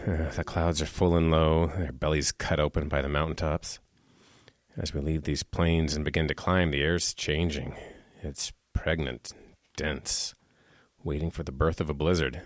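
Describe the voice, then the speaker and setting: An actor playing a brooding, self-assured man in a radio drama, with a measured, poetic delivery. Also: extremely low pitch, steady tone, no echo, studio quality. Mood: brooding, hushed. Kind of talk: radio drama acting with narrated inner monologue.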